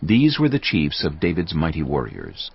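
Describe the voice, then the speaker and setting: A man with a gravelly, husky voice giving solemn, measured scripted narration of a dramatized Bible. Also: rumbling low, huge pitch swings, highs cut off.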